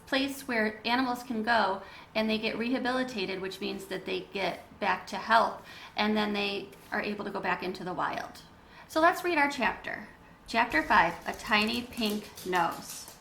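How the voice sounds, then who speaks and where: A woman reading a children's story aloud in an expressive, emphatic, teacherly style. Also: on the high side, gravelly. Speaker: children's read-aloud reader. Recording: roomy echo with background noise.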